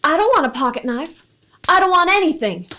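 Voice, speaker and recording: A woman's voice: extremely high pitch, big pitch swings, brisk, bright, forceful tone, slight background noise, full character voice. A woman playing a young orphaned runaway boy, sulky and hurt, in an old-time Western radio play.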